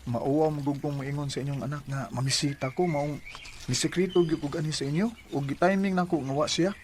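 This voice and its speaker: A smitten young suitor, played by a man in a Cebuano-accented radio drama. He talks earnestly and eagerly in a fairly high male voice. Faint ambient noise sits behind him. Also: fairly even intonation, fairly quick, a little mellow, natural voice. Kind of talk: Bisaya radio drama acting.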